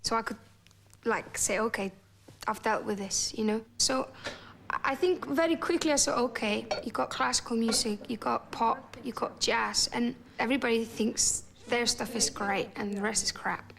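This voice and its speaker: A woman's voice: fairly high pitch, moderate pace, creaky, a bit bright, slight background noise. A woman with an Icelandic accent, talking reflectively in a documentary interview and often restarting and repeating words as she searches for her thoughts.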